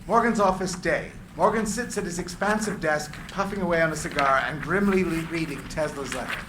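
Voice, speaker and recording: A man's voice: fairly high pitch, brisk. A man reading stage directions as scripted narration for a screenplay. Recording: echoey room with clear background noise.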